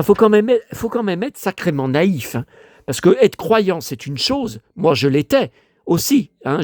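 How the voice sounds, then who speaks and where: An older man from France, hoarse and grumbling, who talks half to himself and half to his viewers. His pitch swings widely from low mutters to high indignant outbursts. The sound is dry and close. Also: darkish tone, no echo. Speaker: vlogger showing flea-market finds. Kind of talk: casual monologue to viewers.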